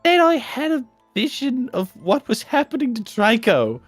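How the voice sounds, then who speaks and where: A woman voicing a melodramatic teenage wizard boy in agony, with overwrought wailing and drawn-out shouts, in a fan fiction reading. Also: on the high side, big pitch swings, slightly rough, dry recording, soft background music, voice pushed up. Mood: frantic.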